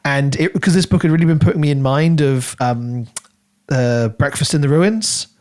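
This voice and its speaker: A man with a slightly high voice talks as a podcast co-host. He reviews a book conversationally, circling and repeating words while he works toward his point. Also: moderate pace, a little mellow. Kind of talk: casual conversational book discussion.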